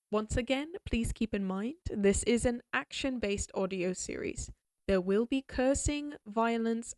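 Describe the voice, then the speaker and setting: A woman with a fairly high voice introduces an audio series. She takes her time with every phrase, deliberately addressing the listener in a scripted way, and the sound is dry with no room to it. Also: no echo. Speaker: series creator and voice actor.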